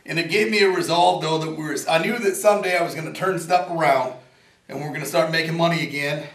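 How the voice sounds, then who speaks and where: Raspy-voiced man, rambling and earnest. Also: on the high side, fast, fairly bright tone. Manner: rambling, self-joking, earnest. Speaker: inventor and veteran interviewee. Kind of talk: casual interview talk. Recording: roomy echo, from a distance.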